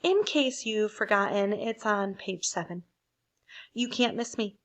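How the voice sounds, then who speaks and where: Lady doing a huffy know-it-all crayon from a kids book, slow and emphatic. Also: medium pitch, animated, fairly bright tone. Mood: boastful. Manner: emphatic, boastful. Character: indignant bossy yellow crayon, sure it's right. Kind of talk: picture book read aloud.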